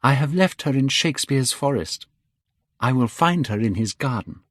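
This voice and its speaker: A man's voice: medium pitch, animated, normal speed, no room sound, studio quality, voice pushed up, close to an everyday voice. A man voicing a giddy lovestruck young man.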